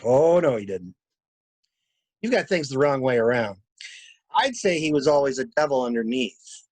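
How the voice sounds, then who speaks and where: Man acting blunt, probing stranger, higher-pitched voice. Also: no echo, rough recording. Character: shrewd, blunt male stranger questioning a household like a detective. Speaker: amateur reader, library staff. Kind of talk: dramatic reading of a murder mystery.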